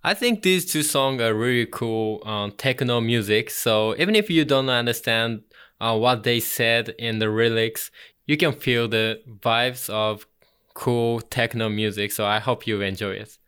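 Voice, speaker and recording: A man in his twenties from Japan, speaking English with a Japanese accent, hosting a music radio show in an earnest, halting way with fillers. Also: medium pitch, fairly bright tone, smooth. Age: 20s. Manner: earnest, halting non-native phrasing with fillers. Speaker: radio host. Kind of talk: casual radio show introduction.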